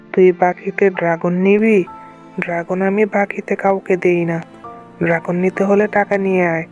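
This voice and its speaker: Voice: medium pitch, a little mellow, muffled recording, close to an everyday voice. An actor voicing a harsh, dismissive tigress landlady in a children's cartoon story. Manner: scolding, dismissive. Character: stern tigress landlady and shopkeeper, harsh, unyielding.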